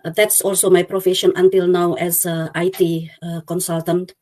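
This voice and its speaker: A woman's voice: lowish voice, level tone, normal speed, dark tone. A woman from Indonesia introducing herself on a video call, hesitant with many uhs. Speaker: IT consultant, guest. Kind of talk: casual self-introduction.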